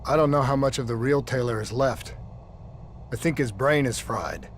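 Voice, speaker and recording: An actor playing a determined, earnest, commanding soldier in a cinematic video game. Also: male voice, mid-range voice, moderate pace, slight background noise, natural voice. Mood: grim focus. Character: determined male soldier trying to save his comrade.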